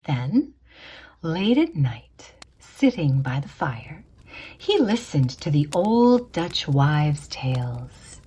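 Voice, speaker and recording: Slow, expressive woman narrating a spooky tale. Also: low voice, slightly rough. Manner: theatrical, deliberate phrasing with drawn-out words. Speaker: storyteller, narrator. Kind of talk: dramatic scripted narration of a spooky storybook tale. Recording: music behind the voice. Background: instrumental music.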